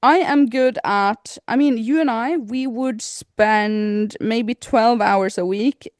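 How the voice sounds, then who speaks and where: A woman's voice: fairly high pitch, takes it slowly, dry recording. Woman podcaster, dry close sound. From Norway. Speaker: podcast co-host. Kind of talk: casual chat.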